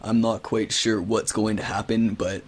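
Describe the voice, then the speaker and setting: Guy pretending to be a stern official website manager on a prank call, lowish husky voice, formal wording. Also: little pitch movement, normal speed, natural voice. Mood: solemn, official. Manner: mock-formal, hedging with official-sounding phrases. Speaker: prank caller. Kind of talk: improvised prank phone call.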